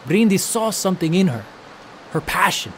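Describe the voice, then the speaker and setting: A man playing a gentle, welcoming, proud father in an audio drama. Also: high voice, sing-song, normal speed. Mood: warm, proud. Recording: faint ambient background noise.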